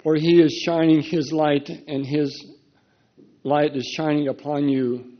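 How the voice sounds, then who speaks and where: A man giving prophecy in archaic wording, speaking slowly and deliberately. The recording is muffled and lo-fi. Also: little pitch movement. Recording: dull low-fidelity recording.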